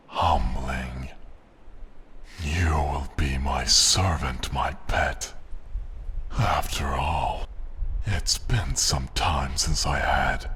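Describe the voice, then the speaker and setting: A man playing a haughty, menacing dragon who taunts condescendingly in a breathy rumble, in a fantasy ASMR roleplay. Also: a bit bright, heavily acted. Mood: gloating, possessive. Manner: slow, deliberate, condescending taunting. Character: menacing, haughty male dragon, mocking and possessive.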